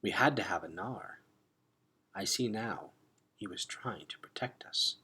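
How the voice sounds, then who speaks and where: Storybook role: solemn young warrior girl, formal, guarded, husky and lowish played by a male reader. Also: pushed and bright, exaggerated voice. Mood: soft, grave. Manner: formal, measured speech without contractions.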